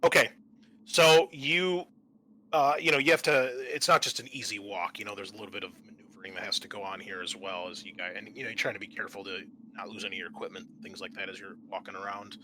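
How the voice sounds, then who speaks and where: A man working as game master, improvising narration for a tabletop roleplaying game in an informal, conversational, halting way. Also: lowish voice, steady tone, pushed and bright, a little hiss or hum, low-quality recording. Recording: music behind the voice. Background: music.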